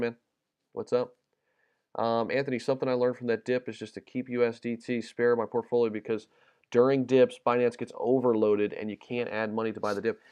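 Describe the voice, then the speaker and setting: A man's voice: mid-range voice, clean tone, lo-fi sound. A man from the United States hosting a casual crypto livestream, reading viewer comments with lots of ums and repeats, his voice a little worn. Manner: relaxed and chatty, many ums and repeated words. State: slightly losing his voice.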